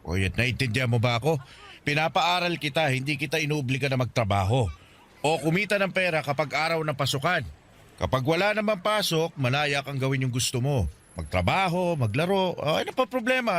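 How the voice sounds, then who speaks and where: A man's voice: fairly high pitch, expressive, brisk, a bit bright. A man with a Filipino accent playing a poor, stern but caring older guardian in a radio drama, scolding and pleading earnestly. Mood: exasperated. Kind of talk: Tagalog radio drama acting.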